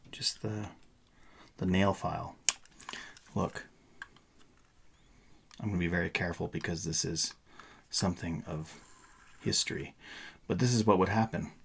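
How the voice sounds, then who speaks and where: A man's voice: on the low side, vocal fry, noisy background. A middle-aged man giving a casual, playful, rambling explanation in a show-and-tell vlog style. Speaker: vlogger.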